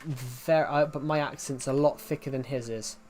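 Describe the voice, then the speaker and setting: A man's voice: medium pitch, fairly even intonation, moderate pace, darkish tone, a little hiss or hum. A young man from the UK with a thick regional British accent, chatting casually on a game stream and restarting his sentences often. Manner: rambling, restarts often, reads chat aloud. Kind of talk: casual live-stream chat.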